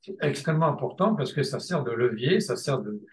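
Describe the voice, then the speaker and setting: A man's voice: medium pitch, animated, brisk, echoey room. A man teaching a seminar, talking off the cuff, often restarting and stalling on filler sounds, then hammering a point with repetition. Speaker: seminar lecturer in psychoanalysis.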